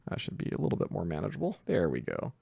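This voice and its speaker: Deep, gravelly man giving a casual tutorial. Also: huge pitch swings, quick pace, no echo, dull audio. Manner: informal, explains step by step. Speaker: tutorial host. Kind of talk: casual screen-share tutorial narration.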